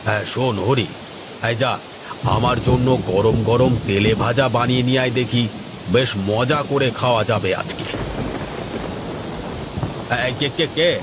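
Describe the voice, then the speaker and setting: A man playing a pompous, self-important village gentleman with poetic airs in a cartoon, speaking theatrically. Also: medium pitch, fairly even intonation, unhurried, pauses often, very noisy, close to an everyday voice. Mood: bossy, pleased. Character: pompous village gentleman who fancies himself a poet. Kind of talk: Bengali cartoon voice acting.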